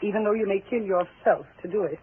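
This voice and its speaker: An actress playing a cold, nervy, scheming wife with a biting tongue in a 1940s radio thriller. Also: female voice, mid-range voice, fast talker, slightly rough. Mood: cool, sardonic. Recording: tinny, muffled 1940s radio recording.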